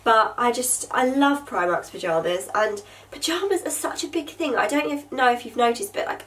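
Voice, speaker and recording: A young woman with a fairly high voice, stuffed up and nasal from a bad cold. She chats in a bubbly, rambling way full of ums, recorded on a camera mic set a little way off in a bedroom. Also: far from the mic. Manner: chatty, bubbly, lots of fillers. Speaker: vlogger. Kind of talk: casual haul chat to camera. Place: bedroom with vanity table, indoors.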